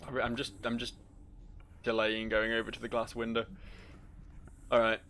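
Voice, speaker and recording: Wry, creaky-voiced male gamer commentating. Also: mid-range voice, completely flat delivery, normal speed, fairly bright tone. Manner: wry, sweary, reads game text aloud. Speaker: gaming video host. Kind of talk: casual game playthrough commentary. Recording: faint noise, game ambience.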